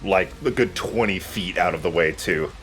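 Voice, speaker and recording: A man's voice: low-pitched, normal speed, fairly bright tone, faint music behind. A man giving casual, joking and sometimes profane game commentary as the host of a let's play.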